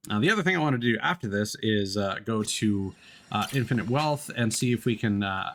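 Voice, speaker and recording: A man's voice: lowish voice, a little hiss or hum. A man doing casual live commentary as he streams a video game. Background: loud video game music.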